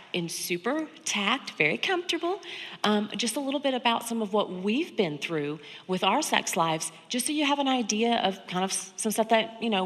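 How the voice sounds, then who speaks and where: An American woman in her thirties talks to a seminar in a conversational, candid way. Her pitch is average for a woman, and the room adds a long hall reverb. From Georgia, United States. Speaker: seminar speaker and sex therapist. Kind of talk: informal seminar talk, personal storytelling. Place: on stage in an auditorium, seated at a high table. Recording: echoey hall, stage sound.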